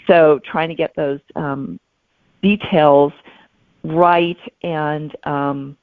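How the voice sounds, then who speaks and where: Creaky-voiced woman novelist on a phone-like line. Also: deep voice, steady tone, very slow, dry recording. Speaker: guest novelist. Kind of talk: casual interview talk. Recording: thin phone-like audio.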